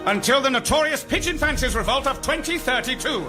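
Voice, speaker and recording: A man's voice: very high voice, fairly quick, over music. A British man playing a grave, dramatic documentary narrator in a mock-history radio comedy, speaking with portentous emphasis.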